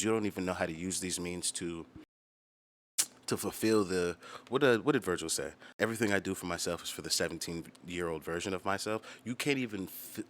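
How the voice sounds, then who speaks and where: A man's voice: low voice, a bit bright, slight background noise. A man hosting a channel, telling stories casually and bluntly, with plenty of slang and swearing. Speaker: host of a music storytelling channel. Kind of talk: casual, profane storytelling to viewers.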